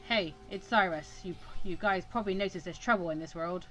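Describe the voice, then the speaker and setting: A woman's voice: on the low side, fairly quick, a bit bright, soft background music. A woman hosting a casual let's play, reading a visual novel aloud and often tripping over words and correcting herself. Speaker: gaming video host.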